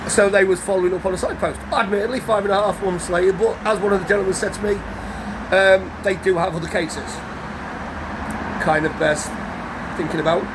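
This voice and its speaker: Croaky high-voiced man in his fifties. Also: steady tone. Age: 50s. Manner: rambling, guarded, halting with restarts. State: croaky from a cold. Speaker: vlogger. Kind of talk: casual story to camera. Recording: outdoor traffic noise behind.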